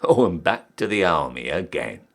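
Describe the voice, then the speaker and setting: Man acting a cheeky old-style British soldier, wildly animated. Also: low-pitched, normal speed, creaky, voice pushed down, exaggerated voice. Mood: rueful, defiant. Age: young adult. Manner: theatrical, emphatic, swooping delivery. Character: cheeky down-at-heel ex-soldier re-enlisting, Victorian British army ranker. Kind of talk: dramatic poetry recital.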